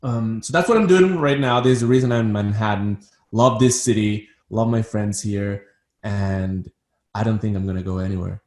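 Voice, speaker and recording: A man's voice: lowish voice, steady tone, moderate pace, a little mellow, echoey room. A man from Ecuador who works as a psychiatrist, talking as an interview guest and often repeating words as he starts a sentence. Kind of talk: interview conversation.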